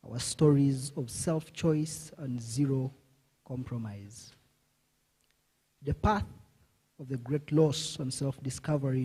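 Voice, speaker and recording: A man poet reading his short poem aloud at a conference, in a slow, measured recitation. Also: medium pitch, warm tone, slightly rough, low-quality recording. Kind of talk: poetry reading. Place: conference hall.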